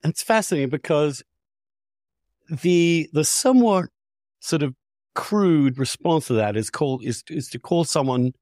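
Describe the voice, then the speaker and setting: A man's voice: fairly high pitch, expressive, measured pace, a little mellow, no echo, studio quality. A man talking candidly and with strong opinions, articulate and emphatic, often restarting his phrases. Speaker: podcast host.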